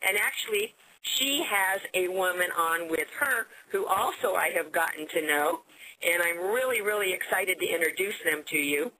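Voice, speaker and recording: An American woman hosting a business conference call, giving an upbeat sales pitch and often repeating words as she goes. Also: moderate pace, pushed and bright, a little hiss or hum, low-quality recording. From United States.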